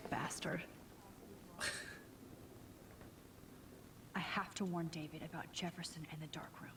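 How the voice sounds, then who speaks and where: A woman's voice: deep voice, steady tone, brisk, background noise, natural voice. A woman playing an introspective, determined teenage student in a video game, talking as if thinking to herself. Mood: quietly intense. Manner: hushed inner monologue.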